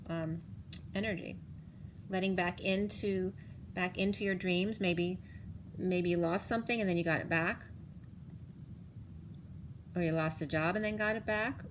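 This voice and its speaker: Hoarse woman giving a rambling tarot reading. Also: mid-range voice, a little hiss or hum. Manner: rambling, halting restarts. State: losing her voice. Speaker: tarot reader. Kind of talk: casual tarot reading for viewers. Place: home room, table of cards. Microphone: camera on the cards, speaker off screen.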